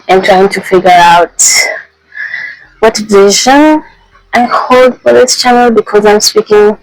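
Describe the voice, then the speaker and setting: A young woman vlogging casually, speaking softly with a voice made raspy by a flu, pausing often with ums. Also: medium pitch, no room sound, low-quality recording. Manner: soft-spoken, halting with many ums. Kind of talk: casual personal vlog. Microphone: handheld mic.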